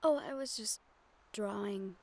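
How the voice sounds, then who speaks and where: Woman acting a soft-spoken, earnest young mage. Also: mid-range voice, vocal fry. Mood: thoughtful, earnest. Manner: soft-spoken, measured, with thoughtful pauses. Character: gentle, earnest magic-wielding young woman, ward of a general. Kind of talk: audio roleplay acting, addressed to the listener. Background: ambient sound effects.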